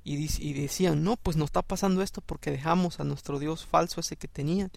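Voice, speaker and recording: A man's voice: on the high side, steady tone, a little fast, vocal fry, no room sound. A man with a Salvadoran accent teaching an informal Bible study. He talks in a loose, chatty way, keeps asking "¿no?" and acts out the people in the story with a raised voice.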